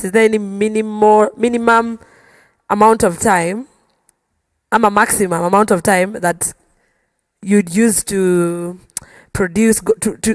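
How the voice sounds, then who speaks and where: A Kenyan woman with a medium-pitched voice talks casually as a panel guest. The sound is dry and close, and she feels her way through her questions a little tentatively. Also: no room sound. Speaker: singer guest.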